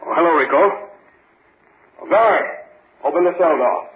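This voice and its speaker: An actor playing a stern, fatherly prison warden who speaks calmly and formally, in old radio drama style. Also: a little high, normal speed, close to an everyday voice. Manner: calm, formal, paternal. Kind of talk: 1940s radio acting. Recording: tinny old radio broadcast with faint hiss.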